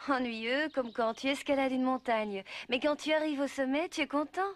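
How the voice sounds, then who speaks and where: A woman's voice: sits high, moderate pace, close to an everyday voice. A woman voicing a kind but firm, motherly fairy in a dubbed cartoon, patient and instructive. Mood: encouraging.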